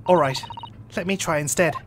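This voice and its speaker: A man's voice: fairly high pitch, normal speed. A man with an English accent hosting a let's play, reading game dialogue aloud and making playful, joking asides. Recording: noisy background ambience.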